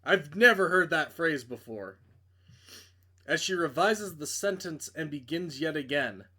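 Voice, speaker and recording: A man's voice: fairly high pitch, animated, measured pace, sharp tone. A man narrating a video game playthrough, reading story text aloud dramatically and dropping in casual, blunt commentary. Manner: reads narration dramatically, stumbles and breaks off for blunt asides. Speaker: game streamer doing a playthrough.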